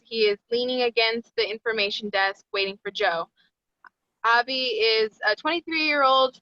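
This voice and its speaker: A woman's voice: a little high, bright, forceful tone, no echo, muffled recording. A woman narrating stage directions at a screenplay table read.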